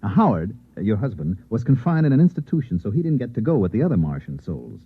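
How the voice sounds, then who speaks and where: A man's voice: fairly low pitch, sing-song, quick pace, breathy edge, exaggerated voice. An actor playing an insistent, earnest newspaperman in an old-time radio drama, with urgent, clipped delivery. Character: insistent, earnest newspaperman narrating his strange night. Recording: muffled, narrow-band old radio recording.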